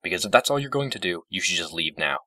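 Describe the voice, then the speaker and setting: A man's voice: low voice, sing-song, quick pace, pushed and bright, no room sound, recorded from a distance, heavily acted. A man voicing a bitter, protective male chimera creature in a storybook reading, curt and spiteful.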